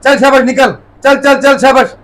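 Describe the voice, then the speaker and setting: A stern master of a tailor's shop played by a man. His voice is very high for a man, with a brusque, bossy manner suited to moral drama. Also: moderate pace, a bit bright. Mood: scornful anger. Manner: brusque with underlings. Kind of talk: moral story drama acting.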